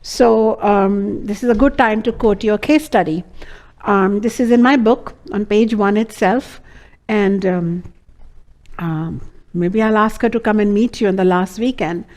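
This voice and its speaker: A woman's voice: mid-range voice, measured pace, a little mellow. A woman with an Indian accent teaching a class, telling a case study in an earnest way with many fillers. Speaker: Ayurveda teacher. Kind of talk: lecture to students.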